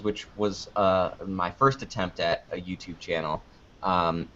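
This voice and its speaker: Low-voiced man chatting casually, dull audio. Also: bright, forceful tone. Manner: joking, anecdotal, acts out quoted exchanges. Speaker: podcast producer and video creator being interviewed. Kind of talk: casual conversational interview answers.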